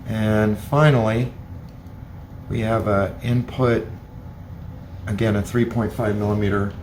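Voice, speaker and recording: A man's voice: lowish voice, background noise. A man giving a hands-on gadget review, explaining features plainly and methodically, step by step. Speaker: product reviewer.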